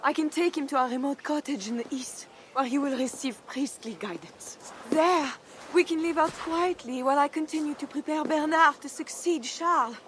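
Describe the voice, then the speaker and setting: A woman's voice: high voice, moderate pace, fairly bright tone, a little hiss or hum. An actress playing a devout, merciful and steadfast medieval noblewoman, earnest and prayerful, in a video game. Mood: pleading, earnest. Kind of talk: dramatic video game acting.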